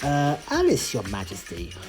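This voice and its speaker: A woman's voice: very deep voice, wildly swooping pitch, normal speed, a little mellow, faint music behind, voice pushed down, heavily acted. A woman voicing a young storybook girl, polite but outspoken and given to complaint. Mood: timid. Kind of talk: storybook character reading.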